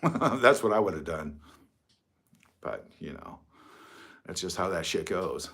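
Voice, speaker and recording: A man's voice: low voice, sing-song, slow, fairly bright tone. A middle-aged man hosting a casual livestream from his phone, rambling, mocking and swearing freely. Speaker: livestream host.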